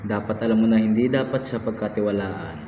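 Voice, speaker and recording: A man's voice: on the low side, a little fast, natural voice. A man playing a worried, cautioning friend in an amateur radio drama, speaking formally and pleadingly. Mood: worried, warning. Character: worried, cautioning male friend, Don Pedro. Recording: muffled, echoey hall, faint noise.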